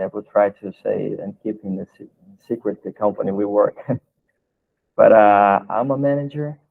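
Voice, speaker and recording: A man's voice: on the low side, vocal fry, narrow, tinny audio. A man in his early thirties with a Brazilian accent, answering questions as a guest in a casual interview. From São Paulo state, Brazil. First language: Portuguese. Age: early 30s.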